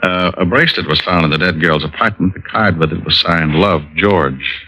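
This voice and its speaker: Casting: wry hard-boiled private eye, low bright voice, smooth and sardonic, vintage radio sound. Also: male voice, moderate pace. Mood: businesslike. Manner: wry, smooth-talking, deadpan quips. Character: wisecracking male private detective, cool and sardonic. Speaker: lead actor and first-person narrator. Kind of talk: 1940s-50s radio noir acting. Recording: dull vintage radio recording, music behind.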